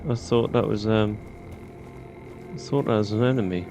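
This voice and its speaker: A man's voice: lowish voice, normal speed, over music. A British man doing casual gameplay commentary, chatty and informal as he muses to himself. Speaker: gaming host.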